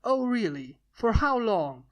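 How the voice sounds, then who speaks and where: A woman's voice: on the high side, very animated, takes it slowly. Woman acting a stern, commanding male lord. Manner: blunt, commanding, solemn.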